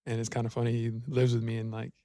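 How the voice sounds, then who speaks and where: A young man co-hosting a podcast, speaking in a halting, earnest way full of fillers. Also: medium pitch, monotone, mellow, no room sound. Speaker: podcast co-host. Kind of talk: casual chat.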